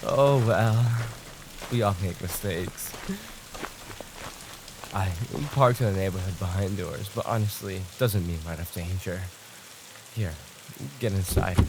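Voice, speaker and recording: Guy doing a creepy kidnapper stalker for asmr, slow gravelly drawl, smug and menacing, fake sweet. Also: low voice, background noise. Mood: excited, menacing. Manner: taunting, mock-tender, unhurried. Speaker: ASMR roleplay voice actor. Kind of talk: ASMR roleplay acting.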